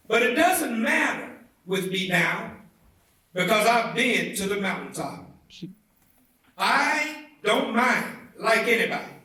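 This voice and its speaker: Man, slow deliberate orator, emphatic speech reading, very echoey hall, distant mic. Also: sits high, pushed and bright. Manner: oratorical, deliberate, measured phrasing with emphatic swells. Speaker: reader at a commemorative event. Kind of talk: dramatic reading of a historic civil rights speech. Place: indoor theatre event, heard from a distance.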